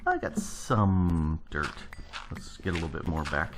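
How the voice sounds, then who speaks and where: A man casually commenting on his gameplay, his voice gravelly from early morning, rambling and thinking aloud as he builds. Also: deep voice, sing-song, measured pace, background noise. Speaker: let's play host.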